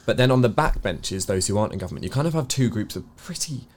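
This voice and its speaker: English man, low-pitched voice, earnest, conversational, explanatory, radio-style discussion host. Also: expressive, quick pace. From England. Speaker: co-host of a news review show.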